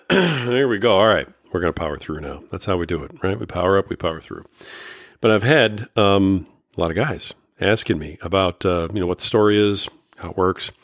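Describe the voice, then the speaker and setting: A middle-aged man from Indiana, hosting a casual solo podcast in a folksy, earnest way, his voice a bit raspy today. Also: deep voice, expressive, fairly quick, fairly bright tone, no room sound. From Indiana, USA. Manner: folksy, earnest, many fillers and restarts. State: slightly raspy voice. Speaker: podcast host and life coach.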